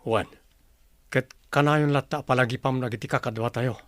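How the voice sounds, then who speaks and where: A man's voice: mid-range voice, steady tone, normal speed, dry recording, lightly acted. Man acting Eliser, vigilant Ilocano warrior, dry sound. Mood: resolute. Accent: Filipino, Ilocano. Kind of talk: radio drama acting.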